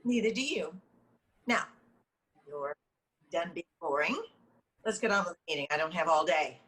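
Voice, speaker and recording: A woman's voice: on the low side, animated, moderate pace, a bit bright. A woman playing a frazzled, defensive, lovestruck goddess in a comedy table reading, flustered and insistent. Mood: defensive, irritated. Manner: flustered, insistent, nervous laughs. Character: frazzled, defensive, delusional lovestruck goddess Luella, meeting leader.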